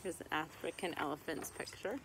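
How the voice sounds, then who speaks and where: A woman's voice: low-pitched, a little fast, a bit bright, low-quality recording. A woman in her thirties reads a children's picture book aloud like a teacher, phrase by phrase with short asides. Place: outdoors in a garden beside a tree. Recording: outdoor background noise with birds and rooster.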